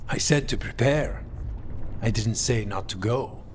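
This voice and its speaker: An actor playing an unflappable assassin in a video game, clipped and dry. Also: male voice, fairly low pitch, a little slow, slight background noise, close to an everyday voice. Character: terse, unflappable male assassin. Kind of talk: restrained video game acting.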